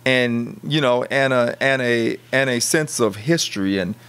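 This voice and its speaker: A man's voice: medium pitch, unhurried, a bit bright, clear, resonant tone. A middle-aged man co-hosting a podcast, talking casually with many stammered restarts. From United States. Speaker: podcast co-host. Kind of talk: casual discussion.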